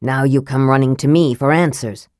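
A woman's voice: rumbling low, normal speed, darkish tone, no echo, studio quality, voice pushed down. A woman voicing a peevish, sibilant young mage. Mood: cold, cutting. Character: frail, bitter young male mage. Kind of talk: audiobook character voice.

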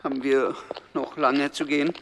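Ein Schauspieler spielt einen ängstlichen, abergläubischen kleinen Gangster in einer Krimikomödie im Radio, nervös, zögernd und unterwürfig. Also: male voice, medium pitch, little pitch movement, moderate pace, close to an everyday voice. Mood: fearful. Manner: nervous, hesitant, obsequious, whispering at times. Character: timid, fearful little male gangster, anxious and superstitious. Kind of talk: 1960s German radio crime comedy acting. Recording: faintly noisy 1960s radio recording.

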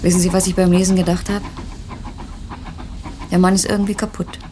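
A woman's voice: on the low side, flat delivery, fairly quick, mellow, a little hiss or hum, close to an everyday voice. An actress playing a young, inquisitive, opinionated literary woman, self-assured and probing, in a naturalistic radio play.

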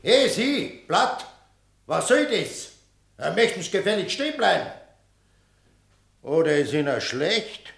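A gruff male guard in a radio play, with a creaky, slightly high voice. He speaks brusquely and colloquially in a roomy, echoing space. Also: sing-song, slow, long pauses, a bit bright, exaggerated voice. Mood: brusque, suspicious. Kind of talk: German radio play acting. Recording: roomy, echoing studio scene.

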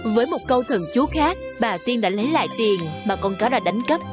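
Lady reading a kids fairy tale, higher pitched voice, music playing behind her. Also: animated, fairly quick. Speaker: narrator. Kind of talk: scripted children's fairy tale narration.

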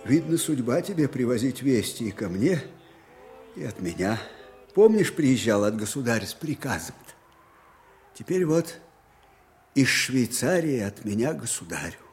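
An actor playing a weary, grieving old field marshal in a radio drama, halting and emotive. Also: male voice, mid-range voice, sing-song. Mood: tender, urgent. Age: older. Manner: halting, emotive, swelling from hushed to fervent. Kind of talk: dramatic radio play acting.

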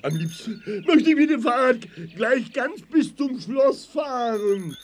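An actor playing a childlike, naive and excitable little bear in a children's audio play. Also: sits high, slow pace, heavily acted. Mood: delighted. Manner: exclaiming, gushing.

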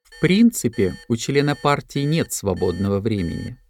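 A man narrating an audio drama from a script in measured, deliberate phrases. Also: medium pitch, sing-song, gravelly, darkish tone.

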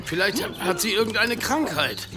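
An actor playing an anxious, excitable village headman in a radio drama, fretful and stammering. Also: sits high, moderate pace, over music. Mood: worried. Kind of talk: German radio drama acting.

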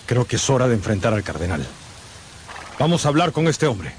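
An actor playing a bold, proud young musketeer in a radio drama. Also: male voice, medium pitch, sing-song, fairly quick, a little hiss or hum, lightly acted. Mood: bold, accusing. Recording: music behind the voice.

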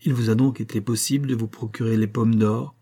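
Man reading a pressing hero voice, slightly raised, husky tone, dark timbre. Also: fairly low pitch, steady tone, fairly quick. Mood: polite. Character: strong impatient male hero, adult.

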